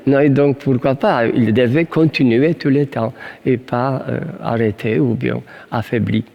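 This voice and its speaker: Man, medium pitch with heavy creak, halting interview speech, long room reverb. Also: lo-fi sound. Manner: halting, stumbles and repeats words. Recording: reverberant hall.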